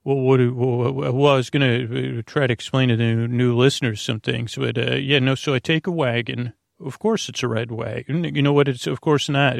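An American man with a creaky voice, hosting a bedtime podcast in a lulling, rambling, hesitant way, full of filler words and tangents. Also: medium pitch, no echo, studio quality. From United States. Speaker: podcast host.

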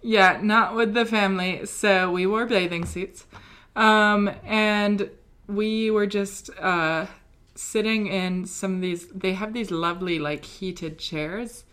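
A woman's voice: medium pitch, steady tone, slow, a bit bright, clean tone. A young British woman co-hosting a podcast, telling a story in a casual, rambling way with many ums and likes. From Britain. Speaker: podcast co-host. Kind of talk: casual chat.

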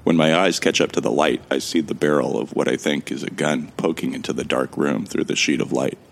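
Very deep gravelly amnesiac played by a man. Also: steady tone, fairly quick, full character voice. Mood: wry, musing. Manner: wry, musing. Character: bewildered amnesiac man, wryly recounting his ordeal. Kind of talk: first-person scripted narration in a sci-fi audio drama.

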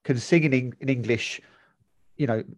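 Measured male co-host, dry sound. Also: mid-range voice, no room sound. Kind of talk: casual chat.

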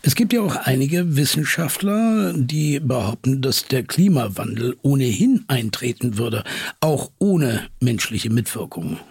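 Casting: actor as doubting, informal male journalist, heavily husky mid-low chat, close dry sound, naturalistic radio play. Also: animated, measured pace, a little mellow, dry recording, exaggerated voice. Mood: skeptical. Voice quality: husky, gravelly. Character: skeptical, casual, probing male interviewer. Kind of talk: conversational interview in an audio drama.

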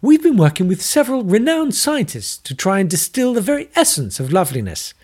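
Bloke doing a bossy excitable lab lady in a high prim voice, bouncy. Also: moderate pace, slightly rough, exaggerated voice. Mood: stern, excited. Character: stern, excitable woman running a secret lab.

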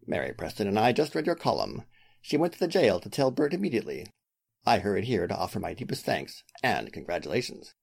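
Man playing a smooth-talking villainous lawyer, very low voice, swinging intonation, formal, intense, old-time radio drama. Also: big pitch swings, a little fast, heavily acted. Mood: eager gratitude. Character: scheming, smooth-talking male lawyer turned desperate killer. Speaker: voice actor. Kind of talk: 1940s-style radio drama acting.

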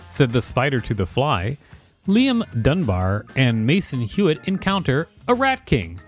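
A man co-hosting a podcast, reading an encyclopedia article aloud. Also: mid-range voice. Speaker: podcast co-host.

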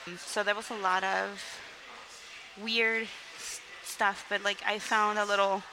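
Young woman from Mexico, interview guest, open and rambling, steady mid-range pitch, noisy recording. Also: measured pace. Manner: candid, rambling, frequent "like" and "um", laughs between sentences. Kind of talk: casual interview storytelling.

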